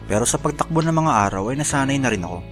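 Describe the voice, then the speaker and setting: A man's voice: medium pitch, expressive, very fast, natural voice. Male actor as jailed ex-migrant worker in his forties, sorrowful letter narration for radio drama, prominent music underneath. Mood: sorrowful, reflective. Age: 40s. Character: Naldo, 45-year-old imprisoned former overseas worker confessing his sorrows.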